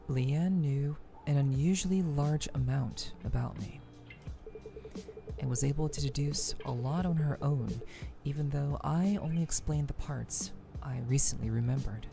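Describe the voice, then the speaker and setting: A brooding, self-reproaching male game protagonist, as acted by a woman. The voice is creaky and measured, delivering story dialogue and narration with music behind it. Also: a bass voice, full character voice. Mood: brooding recollection.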